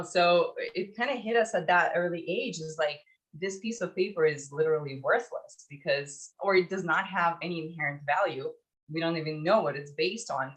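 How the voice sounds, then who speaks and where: A woman in her forties from Ukraine, telling stories in a reflective, halting way as an interview guest. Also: sits low, fairly bright tone, poor audio. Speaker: podcast guest, startup founder. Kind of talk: conversational interview storytelling.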